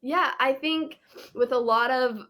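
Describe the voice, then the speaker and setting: A woman's voice: high-pitched, measured pace, sharp tone. A young American woman, a podcast guest, giving her opinions in an earnest, emphatic way. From United States. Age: teens. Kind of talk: podcast interview.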